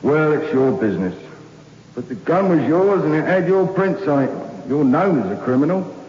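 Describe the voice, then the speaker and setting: An actor playing a blunt, echoey prison guard. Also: male voice, fairly high pitch, expressive, moderate pace, darkish tone, lightly acted. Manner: blunt, matter-of-fact. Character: hard-nosed prison guard. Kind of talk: 1960s radio drama acting. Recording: echoey, dull old radio recording.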